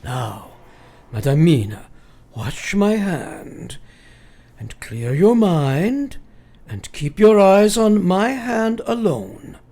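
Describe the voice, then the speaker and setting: An actor playing a grave, commanding elderly professor in a radio play, speaking in formal, old-fashioned, deliberate phrases. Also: male voice, sits high, very animated, mellow, full character voice. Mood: intent.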